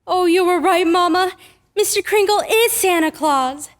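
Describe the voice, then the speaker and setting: A woman playing an excited, trusting little girl in an old-time radio drama. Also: very high-pitched, normal speed. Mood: joyful wonder.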